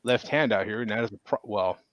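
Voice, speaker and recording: A man's voice: fairly low pitch, fast talker, dull audio, a little hiss or hum. A man hosting a casual chat show in a folksy manner, often repeating himself as he talks. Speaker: show host.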